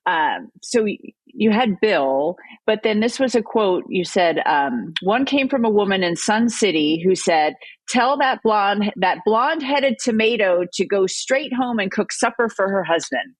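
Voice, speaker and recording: A woman hosting an interview podcast, engaged with her guest and quick to agree and jump in with her own thoughts. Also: medium pitch, animated, dry recording. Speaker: podcast host. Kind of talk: interview conversation.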